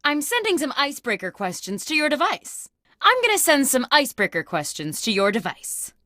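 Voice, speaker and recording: A peppy, eccentric lady boss hosting a video game. Her voice is high and bright, swinging freely in pitch as she delivers upbeat office announcements. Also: wildly swooping pitch, brisk, no echo, clean studio sound, heavily acted. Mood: chirpy, eccentric. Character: peppy, eccentric female corporate boss hosting a job-interview contest. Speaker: game host. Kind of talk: scripted game narration.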